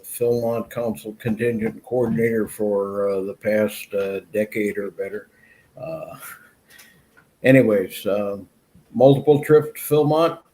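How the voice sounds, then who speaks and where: A man who coordinates scout trips, speaking haltingly with many fillers on a video call. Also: lowish voice, mellow, a little hiss or hum, low-quality recording.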